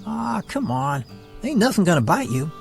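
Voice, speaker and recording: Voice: medium pitch, very animated, normal speed, gravelly, darkish tone, faint music behind. A performer playing a bold young male drifter in an audio drama, breezy and slangy. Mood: eager.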